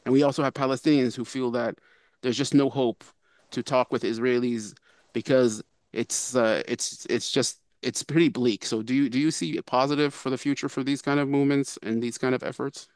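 A man on a video call asks a long question, halting and hedging with many fillers. His voice sounds dry and close, with little room around it. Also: medium pitch, steady tone, darkish tone, clear, resonant tone, dry recording. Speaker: audience member asking a question.

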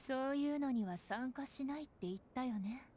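A woman as a cool, high-voiced sardonic nun. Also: moderate pace, a little mellow, a little hiss or hum, close to an everyday voice. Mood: aloof, blunt. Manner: cool, curt, dryly cutting. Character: cool, sardonic young nun, aloof and suspicious. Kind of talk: anime game voice acting. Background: game background music.

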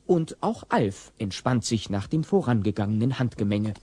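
Guy narrating an audio play, warm husky voice, dry close sound. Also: on the low side, expressive, normal speed, no echo. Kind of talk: scripted audio drama narration.

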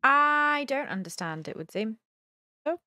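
A woman's voice: high-pitched, animated, unhurried, sharp tone, no room sound, soft background music. A young woman gives casual let's play commentary, thinking aloud and questioning herself in puzzlement. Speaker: gaming video host. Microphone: close desk mic with headset on.

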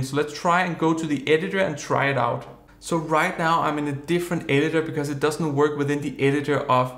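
Man, 30s, software review, slightly high voice, echoey room. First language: Danish. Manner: plain explanatory, upbeat. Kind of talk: product review walkthrough. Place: studio room with purple backdrop.

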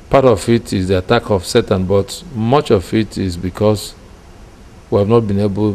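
Deep, mellow middle-aged Nigerian man. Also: a little hiss or hum. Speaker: ministry leader. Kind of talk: explaining and reading out a vision statement. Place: indoor curtained room. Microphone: handheld-style interview microphone in front.

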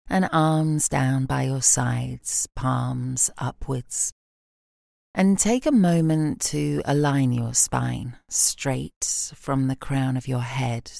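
A British woman guides a relaxation meditation in a strikingly deep voice. She is calm and soothing, with a soft, creaky edge. The recording is close and dry. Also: no echo. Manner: calm, soothing, gently instructing with long pauses. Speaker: yoga nidra meditation guide.

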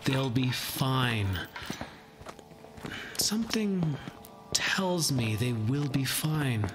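A man plays a gentle man of faith in a fantasy audio drama. He talks slowly and formally, reassuring and calm, with music clearly behind him. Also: mid-range voice. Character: calm, faithful male prophet adventurer.

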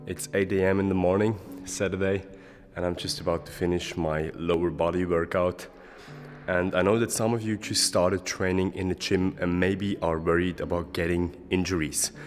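A man's voice: low-pitched, little pitch movement, moderate pace. A young man, a German-speaking fitness coach from Switzerland, earnestly explaining gym tips to a handheld camera at arm's length in a large indoor gym. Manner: earnest, explanatory, adds okay often. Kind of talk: casual vlog advice. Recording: echoey gym hall with music.

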